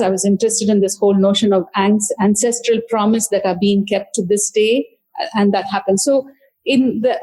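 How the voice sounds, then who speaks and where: A woman author, talking through her book in a thoughtful, halting way with many fillers and restarts. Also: a little high, dark tone, poor audio. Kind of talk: conversational book discussion.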